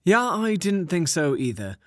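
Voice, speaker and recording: A fussy, pompous man in a comic audio drama. His voice sits a little high and jumps about as he bickers in wordy, sarcastic sentences. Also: very animated, unhurried, no echo, studio quality. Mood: smug. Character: pompous, bickering male sidekick to an old storyteller. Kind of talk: comedic audio drama acting.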